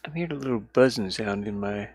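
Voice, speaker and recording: A man's voice: fairly low pitch, fast talker, a little mellow, dry recording. A man in casual solo livestream chat, rambling and muttering as he thinks aloud while working, recorded inside a van. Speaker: livestreamer and indie game developer.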